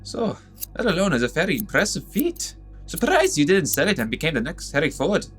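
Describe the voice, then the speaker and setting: An actor playing a brisk, curious doctor-engineer in an audio drama, matter-of-fact and easily fascinated as he mutters over his work. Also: a little high, huge pitch swings, fairly bright tone, a little hiss or hum.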